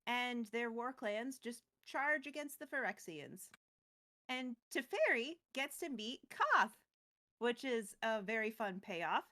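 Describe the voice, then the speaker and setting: A woman's voice: fairly high pitch, sing-song, slow pace, sharp tone. A woman co-hosting a recap show, retelling a story casually with playful, wry, chatty asides. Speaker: co-host of a lore recap show.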